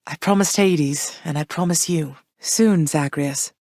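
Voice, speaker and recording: A stately mother goddess from a video game, with a fairly low womanly voice. She phrases things formally and with care, firm but affectionate, with a dry wit. The recording is clean and dry, free of room sound. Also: darkish tone, no room sound. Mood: tender, conflicted. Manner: measured, formal, archaic phrasing. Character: warm, firm, regal mother goddess, gently wry.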